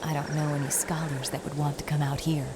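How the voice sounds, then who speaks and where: Deep-voiced, wry young warrior woman by an actress. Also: little pitch movement, fairly quick, very noisy, lightly acted. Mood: uneasy. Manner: wry, dry, pointed. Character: sharp-tongued, suspicious young female warrior. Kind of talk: scripted fantasy game acting.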